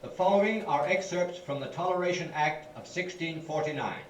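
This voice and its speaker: A man giving a formal, measured reading of a historical document. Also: fairly high pitch, slight background noise. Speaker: narrator of a recorded reading. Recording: dull, distant classroom playback with room echo.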